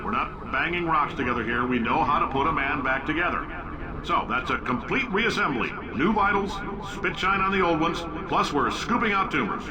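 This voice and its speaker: A man playing a brash, boastful male company boss who talks in loud, blunt salesman bluster over recorded announcements. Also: fairly high pitch, lively intonation, moderate pace, crowd noise, natural voice, low-quality recording. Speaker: game character. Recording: old announcement recording with audible noise.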